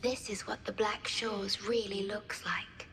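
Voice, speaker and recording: An actress playing a gentle, solemn and devoted female guardian in a video game, speaking formally in measured, breathy phrases. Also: mid-range voice, level tone, reverberant, a little hiss or hum. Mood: solemn, tender. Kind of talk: scripted video game cutscene acting.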